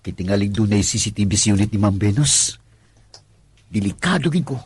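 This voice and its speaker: Nervous, stammering Cebuano husky-voiced man. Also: on the low side, animated, brisk, a little mellow. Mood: nervous, flustered. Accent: Cebuano Filipino. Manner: stammering, hesitant. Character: anxious working-class condo worker, husband worried about wife's debts. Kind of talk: Filipino radio drama acting.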